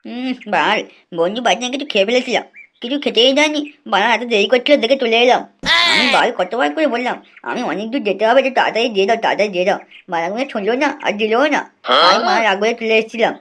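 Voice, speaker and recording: A woman's voice: fairly high pitch, expressive, very fast, a bit bright. A woman voicing a cheeky, whiny young boy in a comic cartoon, grumbling and easily frightened. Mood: indignant. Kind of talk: comic cartoon dubbing in colloquial Bengali.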